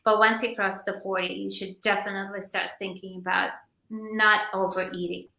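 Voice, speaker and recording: A woman in her forties, a naturopathic doctor, explaining health topics in a measured way during a casual podcast chat. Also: medium pitch, level tone, creaky, bright, forceful tone, reverberant, poor audio. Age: 40s. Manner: explanatory, measured, occasionally hesitant.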